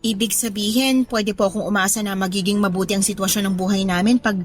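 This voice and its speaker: A woman's voice: medium pitch, fairly even intonation, fast, natural voice. A woman playing a polite, admiring young Filipino student in a radio drama, speaking respectfully and sweetly. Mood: admiring, hopeful. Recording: music bed under the voice.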